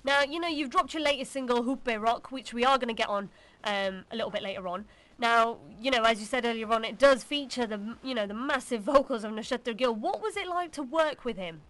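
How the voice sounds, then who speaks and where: A woman's voice: on the high side, animated, fairly quick, fairly bright tone, poor audio. A woman hosting a casual live radio interview in an upbeat, encouraging way. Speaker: radio host, DJ.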